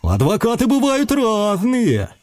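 A man's voice: sits high, huge pitch swings, unhurried, voice pushed up, full character voice. A man voicing a sly, free-spirited young thief creature from a children's story, breezy and theatrical, drawing out his words. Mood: encouraging.

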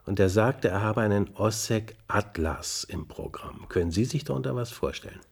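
Husky, low police investigator. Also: male voice, lively intonation, normal speed, darkish tone, heavily acted. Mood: matter-of-fact. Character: dry, probing male police investigator questioning a man. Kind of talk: German radio play dialogue.

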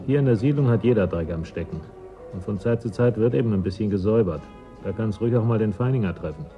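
Actor as a gruff, suspicious settlement man. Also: on the low side, expressive, quick pace, dull audio, over music, natural voice. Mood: probing. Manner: curt, sardonic, distrustful. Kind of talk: German radio play acting.

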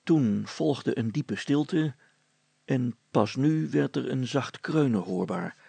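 A man tells a story in a measured, scripted read. His voice is husky with heavy creak. The recording is dry and close, and the words are carefully articulated. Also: medium pitch, lively intonation, mellow, dry recording.

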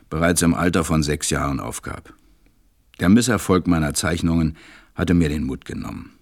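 An actor playing a grown pilot who wistfully recalls his life as a storyteller in a radio play, measured and reflective. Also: deep voice.

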